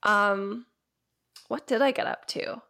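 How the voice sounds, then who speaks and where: A young woman co-hosting a podcast in casual chat, sounding congested and stuffy as she gets over an illness, rambling with lots of fillers and quick laughs. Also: medium pitch, bright, forceful tone. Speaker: podcast co-host.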